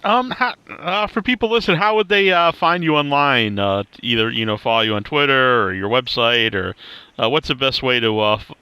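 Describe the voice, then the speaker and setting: A man hosting a casual interview, with hesitant, halting phrasing. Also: medium pitch, bright, forceful tone, smooth, dry recording. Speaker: podcast interviewer, host.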